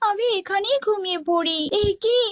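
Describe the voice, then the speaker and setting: A woman's voice: very high-pitched, normal speed. A woman voicing a small, brave female tailorbird in a cartoon, earnest, protective and determined. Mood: weary, puzzled. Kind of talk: cartoon voice acting.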